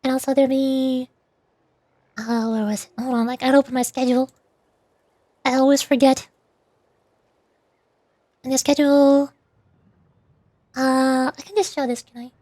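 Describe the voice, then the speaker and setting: A woman's voice: high-pitched, level tone, normal speed, pauses often, mellow, no room sound. A woman livestreamer chatting casually to viewers, rambling and thinking aloud as she goes.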